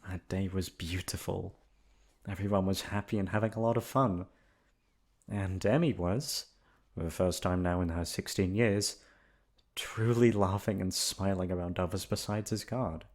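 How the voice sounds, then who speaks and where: Male narrator, low register, ASMR bedtime storybook reading. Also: steady tone, normal speed, a little mellow. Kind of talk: soft storybook narration, ASMR sleep aid.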